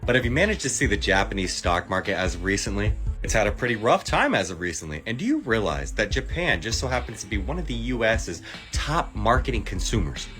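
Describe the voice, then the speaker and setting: A man's voice: fairly low pitch, very animated, a little fast, few pauses, fairly bright tone, faint music behind. A man delivering an insistent political rant to camera, full of sarcastic rhetorical questions. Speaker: TikTok commentator. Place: clip played within a compilation.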